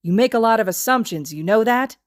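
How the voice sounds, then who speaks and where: A gruff, guarded young man from an anime story, played by a woman reader. He talks bluntly, with a sardonic, challenging edge. The sound is very dry. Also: on the high side, expressive, a little fast, dry recording, voice pushed up, close to an everyday voice. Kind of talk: anime fan fiction character reading.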